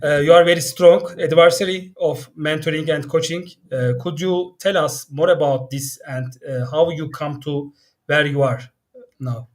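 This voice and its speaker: A man's voice: on the high side, fairly even intonation, moderate pace. A man whose first language is Turkish, hosting a live video-call interview and asking questions hesitantly with many fillers. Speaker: interviewer, host.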